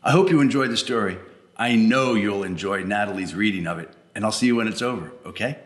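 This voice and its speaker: A man hosting a children's story hour, talking conversationally to young listeners and gently repeating phrases for emphasis. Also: fairly low pitch, fast, roomy sound. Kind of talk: warm-up introduction addressed to children.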